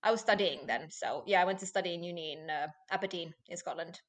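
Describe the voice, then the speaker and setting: A woman in her late twenties, a podcast guest talking loudly and with animation in a casual interview, often laughing. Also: lowish voice, fast talker. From Finland. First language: Finnish.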